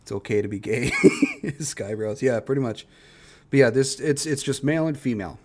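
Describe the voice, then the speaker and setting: Male reaction streamer, mid-pitched, blunt and profane, casual delivery. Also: moderate pace, darkish tone, slight background noise. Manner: blunt, profane ranting with frequent swearing.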